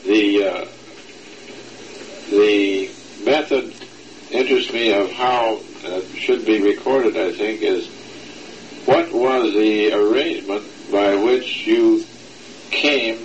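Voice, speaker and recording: A man's voice: lowish voice, slow, long pauses, highs cut off, a little hiss or hum. A man interviewing an older immigrant, asking polite, prompting questions. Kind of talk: oral history interview.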